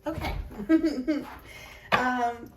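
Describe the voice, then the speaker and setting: A woman's voice: sits high, drawn-out words. A young woman with a slight cold giving a casual, chatty tarot reading to camera, laughing at herself now and then. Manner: chatty, self-deprecating, laughs often. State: slightly sick with an itchy throat and chest cough. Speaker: tarot reader. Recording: roomy echo, mic at a distance, noisy.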